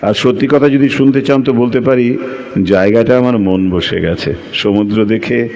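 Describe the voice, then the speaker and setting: A man's voice: mid-range voice, normal speed, darkish tone, dull audio, natural voice. An actor playing a shy, lonely young man who speaks hesitantly and repeats himself in an audio drama. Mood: wistful. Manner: hesitant, stammering, repeats phrases. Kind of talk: Bengali audio drama acting with narration. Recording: clearly noisy recording.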